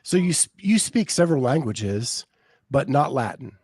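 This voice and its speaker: A man's voice: mid-range voice, big pitch swings, moderate pace, a little mellow, breathy edge, dry recording, studio quality. An American man hosting a podcast interview, curious and asking leading questions in a casual way. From United States. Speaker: podcast host.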